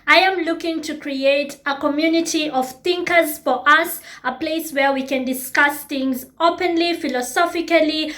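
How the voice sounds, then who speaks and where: A woman's voice: sits high, fairly even intonation, moderate pace, few pauses, a bit bright. A Kenyan woman in her thirties hosting her own vlog, talking earnestly and repeating phrases for emphasis. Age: 30s. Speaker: YouTube host. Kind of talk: reflective personal vlog talk.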